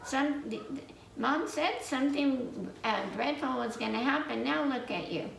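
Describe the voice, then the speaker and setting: Woman as a high-pitched scolding little ghost sibling. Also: lively intonation, measured pace, creaky, full character voice. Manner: reproachful, warning. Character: scolding ghost brothers and sisters, young tattletales. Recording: roomy, distant mic with faint noise.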